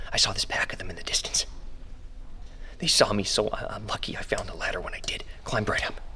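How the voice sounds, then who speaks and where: An actor playing a frightened, desperate trapped man with a wry streak, recording himself in a breathy audio drama monologue. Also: lowish voice, sharp tone. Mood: hushed, scared.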